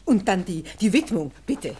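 An actress in a German radio play playing a chatty, inquisitive nineteenth-century housewife with a gossipy, coaxing manner. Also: female voice, medium pitch, very animated, moderate pace, dark tone, slight background noise, close to an everyday voice. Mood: eager.

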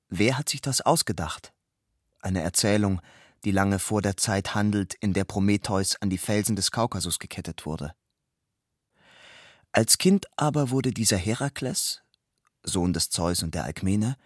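A man narrating a literary text in measured, deliberate phrases, moving between storytelling and quotation. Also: lowish voice, no room sound, clean studio sound.

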